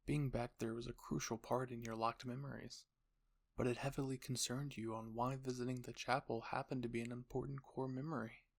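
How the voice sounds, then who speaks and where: Male narrator with a slightly husky, creaky voice. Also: mid-range voice, little pitch movement, fairly quick. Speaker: narrator of a roleplay story. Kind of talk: scripted second-person narration.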